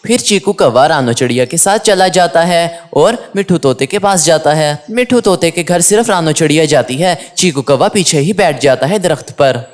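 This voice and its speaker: Mid-pitched storybook narrator of unclear sex. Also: animated, normal speed, few pauses. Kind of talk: scripted children's story narration.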